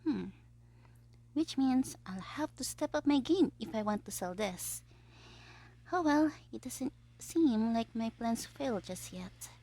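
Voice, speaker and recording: A woman's voice: a little high, lively intonation, unhurried, mellow, low-quality recording. A woman playing a smug, theatrical young supervillain who schemes playfully in an audio roleplay diary. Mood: musing, scheming. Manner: theatrical, playful, self-satisfied, drifting into conspiratorial whispers.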